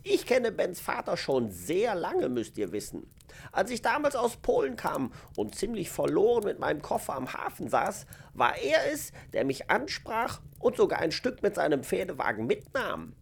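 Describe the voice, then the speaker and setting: Man playing a creaky, jovial old gardener. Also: sits high, huge pitch swings, normal speed, exaggerated voice. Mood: reflective, grateful. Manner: avuncular, gently teasing. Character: kindly, jovial old male Polish immigrant gardener. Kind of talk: German radio-play acting.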